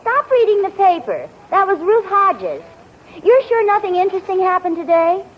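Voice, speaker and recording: A woman's voice: extremely high pitch, big pitch swings, moderate pace, a little hiss or hum. A woman acting an inquisitive, persistent wife in a 1940s radio sitcom. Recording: muffled old radio broadcast with audience.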